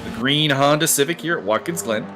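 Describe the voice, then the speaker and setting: A man's voice: a little high, brisk, background noise. A man commentating casually on a sim racing stream, talking in an unscripted, rambling and joking way with a co-host. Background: music behind the voice.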